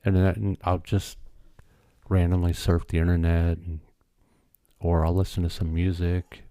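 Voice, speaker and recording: Deep low guy in his 50s, mellow and easygoing, podcast chat, dry close mic. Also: unhurried, no room sound. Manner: relaxed, storytelling, matter-of-fact. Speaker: podcast co-host. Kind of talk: casual chat.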